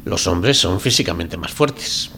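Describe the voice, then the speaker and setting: A man's voice: deep voice, animated, measured pace, bright, forceful tone, slight background noise, exaggerated voice. A man acting an earnest, conventional doctor in a radio drama, formal and polite, with a hesitant, flustered manner. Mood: polite, uneasy.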